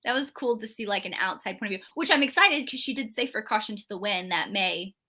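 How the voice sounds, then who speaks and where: Bright-voiced woman chatting about books. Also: mid-range voice, lively intonation, fast talker. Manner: chatty, rambling, many fillers and restarts. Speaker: book club co-host. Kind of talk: casual live book discussion.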